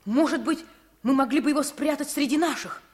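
A woman plays an eager village lad in a vintage radio drama. The voice is high, earnest and pleading, that of a loyal young helper. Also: a little fast, close to an everyday voice. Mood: earnest, hopeful. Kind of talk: 1950s radio play acting.

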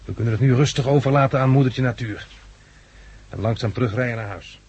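A practical, world-weary male farmer in a 1960s radio play. His voice is very husky and rough at an ordinary male pitch. He speaks as a sober survivor. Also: fast talker, a little mellow, dull audio. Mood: grave, resigned. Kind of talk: 1960s Dutch radio drama acting.

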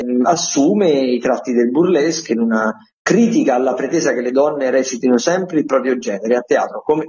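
A man's voice: medium pitch, a little mellow, slight background noise. Dull-sounding man hosting arts radio. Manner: digressive, halting, self-correcting asides. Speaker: radio host presenting theatre listings. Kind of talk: semi-improvised radio arts listings commentary.

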